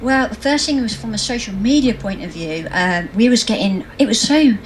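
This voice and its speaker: A woman's voice: a little high, lively intonation, moderate pace, slight background noise, low-quality recording. A woman, a guest on an interview, telling her business story in a bubbly, anecdotal way and laughing easily. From England. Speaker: guest, social media trainer.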